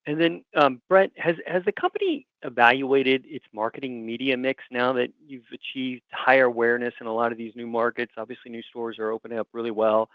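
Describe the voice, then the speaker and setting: A man's voice: medium pitch, no echo. A man calling in as a financial analyst, asking questions in a conversational business style. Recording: thin dry phone line.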